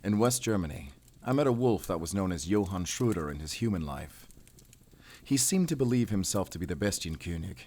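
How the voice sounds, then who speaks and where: Grim, determined werewolf hunter, low-pitched, brooding and terse, measured audio drama delivery. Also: male voice, animated, a little mellow. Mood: probing. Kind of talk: cinematic audio drama acting.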